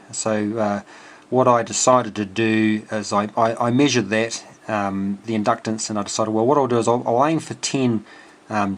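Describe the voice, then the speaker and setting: A man giving an informal technical walkthrough as a hobby builder, thinking aloud in a hesitant, halting way. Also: on the low side. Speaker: amateur radio builder presenting a project. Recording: clear steady background noise.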